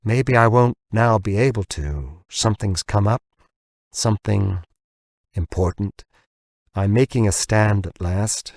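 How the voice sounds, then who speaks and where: A man's voice: on the low side, measured pace, darkish tone, no room sound, studio quality. A man voicing a bitter, ranting American man who taunts and tumbles over his words in an audiobook. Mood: worried, regretful. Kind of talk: audiobook character dialogue.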